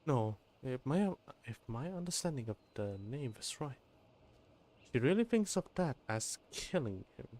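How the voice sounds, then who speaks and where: A man's voice: mid-range voice, sing-song, a little slow, a little mellow, slight background noise. A man streaming a game, giving casual commentary on the story as he follows it.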